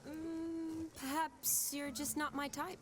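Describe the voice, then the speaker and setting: A woman's voice: sits high, level tone, takes it slowly, slight background noise. A dry, teasing young woman. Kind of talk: video game cutscene voice acting. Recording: game soundtrack music behind.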